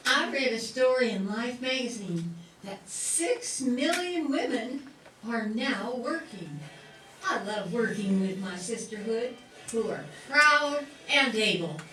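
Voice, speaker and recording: Proud, chatty 1940s factory girl played by a woman, high lively pitch, echoey radio drama. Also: slow, full character voice. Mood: proud, friendly. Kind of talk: amateur radio play acting. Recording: echoey room, distant mic, faint music.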